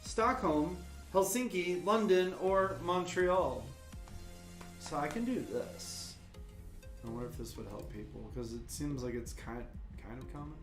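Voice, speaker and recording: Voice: medium pitch, a little slow. A trivia co-host reading answer options and making playful, jokey asides during a casual live stream. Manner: playful, jokey asides, sing-song noises. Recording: echoey room with faint music.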